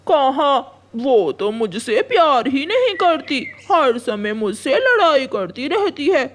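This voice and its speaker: Woman voicing slow, high, scheming cartoon crow husband. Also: sing-song, exaggerated voice. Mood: flirtatious, coaxing. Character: smooth-talking, bossy male crow husband, flirtatious and scheming. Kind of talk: Hindi cartoon story acting.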